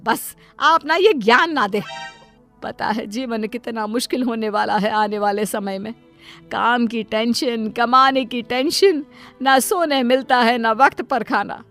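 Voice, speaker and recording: A woman's voice: a little high, unhurried, a bit bright, faint music behind, voice pushed up. A woman voicing a self-sacrificing middle-aged mother in a cartoon story, who wails and pleads and makes excuses for her daughter. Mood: indulgent. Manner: wailing, pleading, indulgent toward her daughter.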